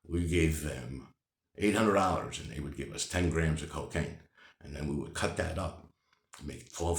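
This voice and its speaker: A man's voice: low voice, reverberant. An older man telling his own life story candidly, rambling with many fillers and restarts. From United States.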